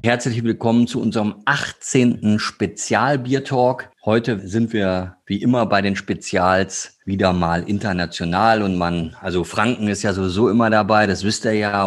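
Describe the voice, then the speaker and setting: Male podcast host interviewing guests. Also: fairly low pitch. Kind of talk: conversational beer podcast interview.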